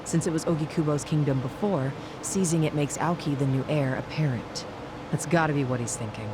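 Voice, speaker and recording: A woman's voice: sits low, brisk, close to an everyday voice. An actress playing a cool, tough, pragmatic gang boss in a video game, curt and guarded, with noise behind her. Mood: cool, analytical. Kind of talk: English video game voice acting.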